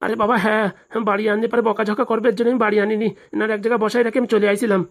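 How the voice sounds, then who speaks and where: A woman's voice: medium pitch, flat delivery, very fast, natural voice. A woman voicing a sheepish older man in a comic cartoon, nervous and evasive in manner. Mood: flustered. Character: flustered, sheepish older neighbour man avoiding blame.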